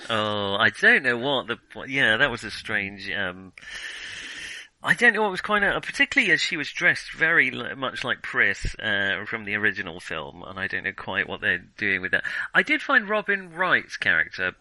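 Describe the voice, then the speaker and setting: A man co-hosting a casual film discussion, speaking in a hesitant, halting way with thoughts that trail off. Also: fairly low pitch, big pitch swings, bright, forceful tone, no room sound, rough recording. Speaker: film podcast co-host.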